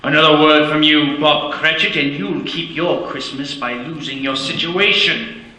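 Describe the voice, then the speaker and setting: An actor playing a bitter, stingy old miser who snaps and sneers, in a live stage radio play. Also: male voice, on the high side, fairly even intonation, measured pace, sharp tone. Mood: curt. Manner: snapping, sneering, clipped. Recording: echoey theater stage.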